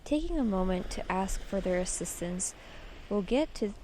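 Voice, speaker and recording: A woman leading a guided meditation in a soothing, measured way, leaving pauses between short instructions. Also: mid-range voice, lively intonation, noisy background.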